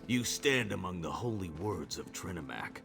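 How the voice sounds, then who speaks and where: A man playing a stern, devout orc elder in a fantasy video game, with a gravelly voice and a grave, measured, cryptic manner. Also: sing-song, heavily acted. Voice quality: very deep, gravelly. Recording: background music under the voice.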